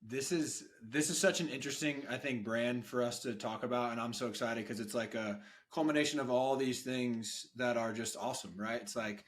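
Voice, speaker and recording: A man's voice: mid-range voice, brisk, few pauses, a little hiss or hum. A man co-hosts a podcast with real enthusiasm, tossing in casual slang. His voice sits in an ordinary middle range. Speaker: podcast co-host.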